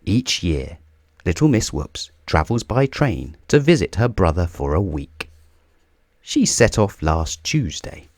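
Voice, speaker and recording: A man reading a children's storybook aloud in a theatrical, deliberate way, drawing out key words for emphasis. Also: low-pitched, big pitch swings, darkish tone, no echo, clean studio sound. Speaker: children's book reader. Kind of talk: scripted storybook read-aloud.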